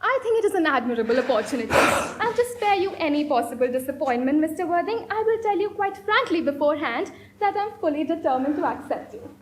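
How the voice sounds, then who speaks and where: A woman's voice: sits high, lively intonation, fast talker, few pauses. A woman playing an imperious, fanciful young society lady in a stage comedy of manners, declaiming with affected, grand emphasis. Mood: coy, commanding. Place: indoor stage performance. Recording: roomy stage recording with faint noise.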